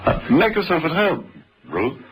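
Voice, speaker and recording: A man playing a suave, flirtatious gentleman agent in a radio drama, urbane, gallant and teasing. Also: on the high side, sing-song, measured pace, noisy background. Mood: suave flirtation.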